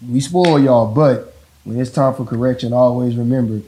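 A man with a deep voice talking casually about parenting, rambling and often checking in with the listener. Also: moderate pace, slight background noise, rough recording. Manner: relaxed, rambling, repeats "you know what I'm saying". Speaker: father in a couple interview.

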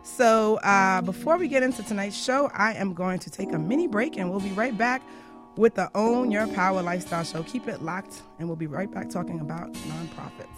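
A woman's voice: medium pitch, moderate pace. A woman hosting a radio show in a casual, chatty, conversational way. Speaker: radio show host and life coach. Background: faint music.

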